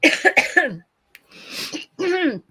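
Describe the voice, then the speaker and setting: A woman co-hosting a casual talk show, chatty and halting, saying she is losing her voice. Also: very high-pitched, very animated. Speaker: co-host.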